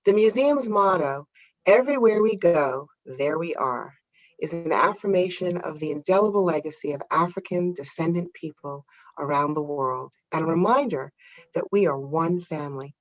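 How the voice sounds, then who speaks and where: Measured woman hosting, dull lo-fi sound. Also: low voice, expressive. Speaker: host. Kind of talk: scripted intro and interview questions.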